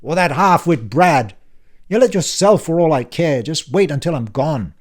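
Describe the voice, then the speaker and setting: A man's voice: on the high side, animated, normal speed, pitched above the performer's own voice. A man voicing a brash, impatient older uncle who talks in a cutting, bossy way in an audiobook. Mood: brusque. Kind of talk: audiobook character voice.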